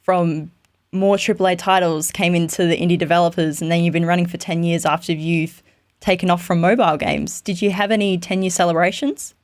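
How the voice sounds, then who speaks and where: A woman hosts an interview show in a conversational style. Her voice sits slightly low for a woman as she sets up topics and puts questions to her guest. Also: fairly even intonation, brisk.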